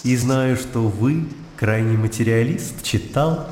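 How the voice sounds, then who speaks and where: A man plays a slight young idealist in a radio play, polite and terse but earnest. His mid-range voice moves very slowly. It rings with echo, with birdsong behind. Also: mid-range voice, a little mellow, slight background noise, natural voice. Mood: earnest conviction. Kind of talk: radio drama dialogue. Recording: echoey, reverberant, birdsong and faint ambience. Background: birdsong, outdoor ambience.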